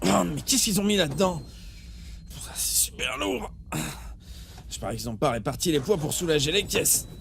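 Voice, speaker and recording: A man's voice: fairly high pitch, animated, normal speed, creaky, fairly bright tone, slight background noise. A man playing a grumbling, timid, put-upon spaceship crewman in an audio drama, whiny and flustered.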